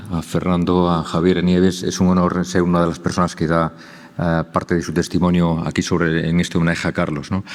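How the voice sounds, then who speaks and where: Deep, flat-voiced man, quick speech. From Spain. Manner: measured, with frequent eh fillers. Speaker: cardiologist giving a testimony. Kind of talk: formal tribute speech. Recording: long hall reverb.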